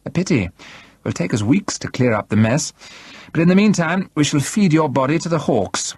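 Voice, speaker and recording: A man's voice: mid-range voice, expressive, moderate pace, darkish tone, husky, no room sound. A man voicing a mocking, coolly menacing male villain in an audiobook, casual, scornful and mock-polite. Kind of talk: audiobook character acting.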